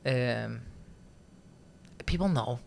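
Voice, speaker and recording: A young man from Liverpool with a softened Scouse accent, chatting casually as a podcast guest and often laughing. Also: medium pitch, drawn-out words, gravelly. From Liverpool, England. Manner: chatty, laughs often, frequent "do you know what I mean". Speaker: guest, trading company CEO.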